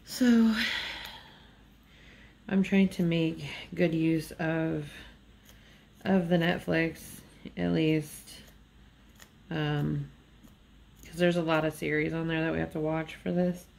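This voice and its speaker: A woman's voice: low voice, steady tone, slow, gravelly, pauses often, darkish tone. A woman just woken up, chatting in a rambling, nasal voice with fillers and small laughs while she works on a craft at a table indoors. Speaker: diamond painting vlogger.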